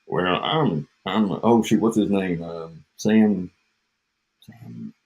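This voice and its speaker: A man's voice: low voice, lively intonation, takes it slowly, vocal fry, darkish tone, husky. A man co-hosting a podcast, rambling and joking in casual chat, drifting off mid-thought, with an echo on his home mic. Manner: joking, meandering, trails off. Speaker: podcast co-host.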